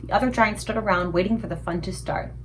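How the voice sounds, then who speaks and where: A woman reading a children's book aloud in an expressive storytelling style. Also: mid-range voice, fast, rough recording. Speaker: read-aloud book reader.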